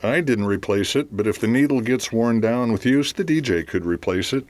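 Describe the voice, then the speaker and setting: A man's voice: medium pitch, expressive, normal speed, a little mellow, no room sound, lightly acted. A man playing a cooperative, mildly puzzled radio station staffer in an audio drama, speaking in a plain, matter-of-fact way. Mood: helpful, puzzled. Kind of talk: audio theater mystery acting.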